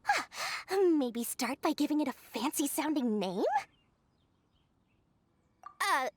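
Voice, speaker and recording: A woman's voice: high voice, animated, slow, no echo. A woman playing a thrifty, dramatic young female scholar-merchant in a video game, hesitant and easily exasperated. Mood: sheepish. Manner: hesitant, sighing, theatrical. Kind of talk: video game cutscene acting. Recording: music behind the voice.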